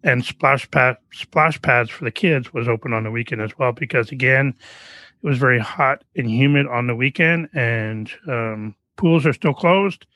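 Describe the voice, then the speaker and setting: A man's voice: mid-range voice, moderate pace, pushed and bright, dry recording. Male podcast host, easygoing, rambling delivery. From Canada. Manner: rambling, friendly, with restarts. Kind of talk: casual interview chat.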